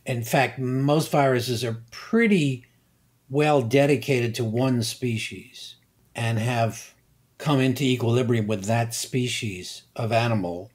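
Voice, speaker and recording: An older man, a guest scientist, explaining slowly and deliberately, pausing and repeating words as he thinks. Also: mid-range voice. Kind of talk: unhurried expert explanation.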